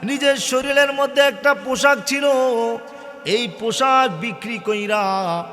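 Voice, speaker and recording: High-voiced man preaching in a long reverb. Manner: singsong, drawn-out phrases swelling into loud cries. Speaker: Islamic preacher. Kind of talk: impassioned Bengali waz sermon, half-chanted storytelling. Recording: long hall reverb, faint music.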